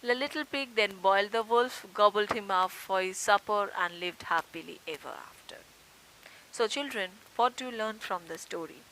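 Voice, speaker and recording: A woman's voice: mid-range voice, moderate pace, pushed and bright, rough recording. A woman teacher telling a fable to young children and explaining its moral. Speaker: kindergarten teacher. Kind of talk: storytelling lesson for young children. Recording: noticeable background hiss.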